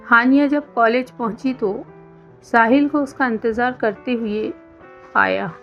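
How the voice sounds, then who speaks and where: A woman's voice: a little high, unhurried. A woman reading a scripted romantic drama story as a storyteller. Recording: dull lo-fi sound under music.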